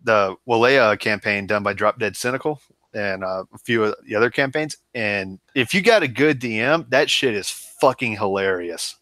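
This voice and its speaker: A man's voice: fairly low pitch, lively intonation, normal speed, fairly bright tone, dry recording. A man chatting crudely on a livestream, swearing casually. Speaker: bassist.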